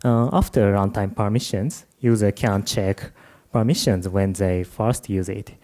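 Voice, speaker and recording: Japanese-accented male conference speaker, low mellow tone, jet-lagged, hesitant delivery. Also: steady tone. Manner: halting, with many uh and um fillers. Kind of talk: tech conference talk. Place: conference room.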